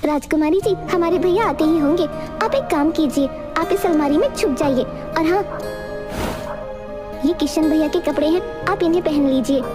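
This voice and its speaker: A woman voicing an eager, chatty young village girl in an animated story. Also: sits high, normal speed, loud music behind the voice, natural voice. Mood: eager, reassuring. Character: eager helpful village girl, a farmer's little sister. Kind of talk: Hindi animated fairy tale acting.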